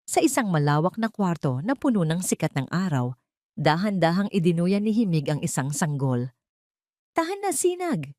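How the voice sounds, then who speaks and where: Female drama narrator, lowish voice, swooping intonation, dry close sound. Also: animated, normal speed, darkish tone, no echo. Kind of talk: dramatic scripted story narration.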